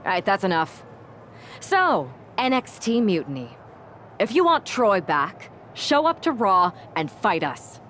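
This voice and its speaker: A woman's voice: medium pitch, big pitch swings, a little slow, a bit bright, slight background noise. A woman playing a brash, combative wrestler in a video game cutscene, talking in a punchy, confrontational way. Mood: taunting. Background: music.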